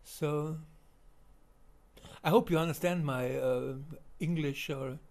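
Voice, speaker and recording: A man with a German accent giving unscripted voice-over commentary on video footage, halting with many fillers and restarts. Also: fairly high pitch, vocal fry, darkish tone.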